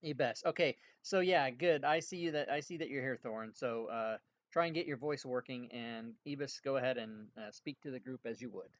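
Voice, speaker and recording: A man's voice: mid-range voice, moderate pace, highs cut off. Easygoing man running a tabletop game. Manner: chatty, easygoing, laughs often. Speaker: game master.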